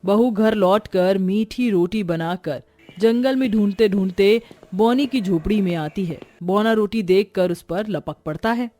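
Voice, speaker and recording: A woman's voice: medium pitch, measured pace, mellow, faint music behind. A woman reading scripted narration for a cartoon story.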